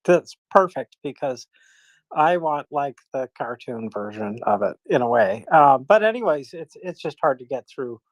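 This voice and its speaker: A man's voice: a little high, expressive, moderate pace, no echo, low-quality recording. A man chatting casually as a participant in a live online audio discussion. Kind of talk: casual chat. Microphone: live online audio chat.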